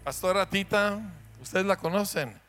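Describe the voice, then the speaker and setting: A man's voice: high-pitched, moderate pace, loud music behind the voice. A man with a Mexican Spanish accent, a pastor introducing guests to his church in a joking, chatty way that keeps asking the audience questions.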